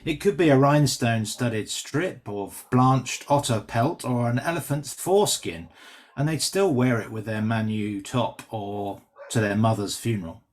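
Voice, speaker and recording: A man playing a brash, quick-witted stand-up comedian with sardonic, teasing banter in a script reading. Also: medium pitch, darkish tone, close to an everyday voice. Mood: cocky teasing.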